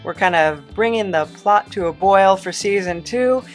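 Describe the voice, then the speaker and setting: Female podcast host: medium pitch, informal, chatty, somewhat hesitant, faint music underneath. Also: moderate pace. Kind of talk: casual chat to listeners.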